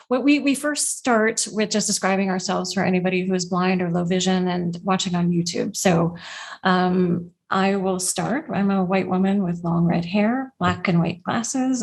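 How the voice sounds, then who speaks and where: Breathy upbeat woman hosting a podcast. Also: on the low side, steady tone, normal speed. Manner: upbeat, with frequent fillers. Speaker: podcast host. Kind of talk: casual interview hosting.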